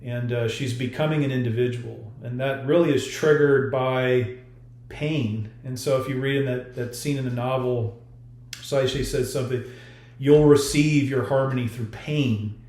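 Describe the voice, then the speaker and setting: Male author, podcast guest, mid-pitched voice, thoughtful explainer, roomy echo on the recording. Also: fairly even intonation, measured pace, darkish tone. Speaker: author being interviewed. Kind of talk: podcast interview about a novel. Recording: roomy, echoey sound.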